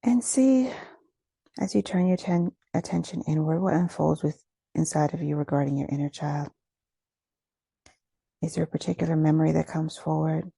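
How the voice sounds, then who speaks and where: A woman leading a guided meditation, giving gentle, soothing instructions with unhurried phrasing and pauses. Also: deep voice, vocal fry, husky, no echo.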